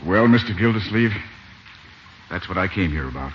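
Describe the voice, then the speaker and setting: An American actor playing a polite, hesitant choir director in a 1940s radio comedy. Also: male voice, low-pitched, expressive, normal speed. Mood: matter-of-fact. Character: courteous, hesitant choir director bringing good news. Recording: muffled, noisy vintage radio recording.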